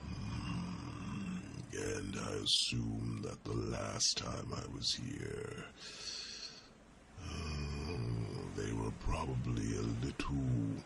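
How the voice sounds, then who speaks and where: A man voicing a huge ancient dragon in an ASMR fantasy roleplay, a calm, gentle mentor with a gravelly rumble and a deliberate, ruminative manner full of thoughtful hums. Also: exaggerated voice. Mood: reluctant, rueful.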